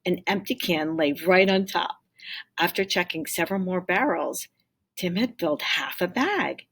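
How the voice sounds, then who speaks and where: Low, husky-voiced woman reading a storybook aloud. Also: unhurried. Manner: expressive, acts out dialogue. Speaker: teacher reading aloud to pupils. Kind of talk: storybook read-aloud with explanatory asides.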